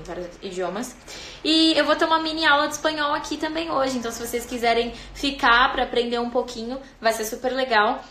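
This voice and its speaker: A young Brazilian woman hosts a phone livestream in a casual, teasing tone. Her voice sits a little high, and the room around her is echoey. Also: fairly bright tone. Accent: Brazilian Portuguese. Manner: chatty and playful, quick asides to viewers. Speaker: livestream host.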